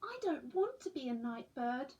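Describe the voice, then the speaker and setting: A woman's voice: sits high, sing-song, normal speed, darkish tone, highs cut off, slight background noise, voice pushed up. A woman reading a storybook as a young male baby owl, earnest and timid in a childlike, emphatic way. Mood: earnest, wary.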